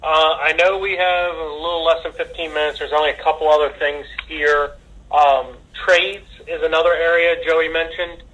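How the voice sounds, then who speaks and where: A man's voice: on the high side, level tone, moderate pace, a bit bright. A man giving an informal work briefing as a manager, heard over a tinny conference phone line. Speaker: retail manager leading a staff conference call.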